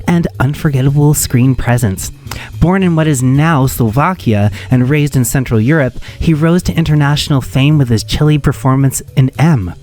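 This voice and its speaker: A man's voice: medium pitch, a little mellow. A man hosting a radio show, reading a scripted introduction. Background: music.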